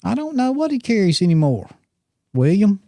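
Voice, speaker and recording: A man's voice: high voice, moderate pace, no echo. Teasing older man, podcast chat. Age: 60s. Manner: rambling, animated, teasing. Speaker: podcast co-host. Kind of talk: casual chat.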